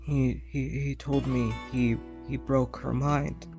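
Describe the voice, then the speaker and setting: A man voicing a hesitant, shaken teenage girl in a tabletop game, stammering and halting. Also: medium pitch, flat delivery, highs cut off, music in the background.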